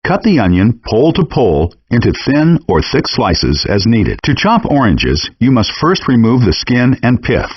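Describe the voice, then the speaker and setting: A man's voice: on the low side, breathy edge, no echo. A man reading scripted cooking instructions step by step, as an instructional narrator in a game. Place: dry close voice-over recording. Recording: muffled lo-fi handheld game audio.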